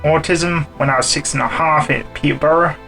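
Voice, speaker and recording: A man from the UK speaking haltingly, with many ums between words. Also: on the high side, steady tone, gravelly, sharp tone, faint music behind.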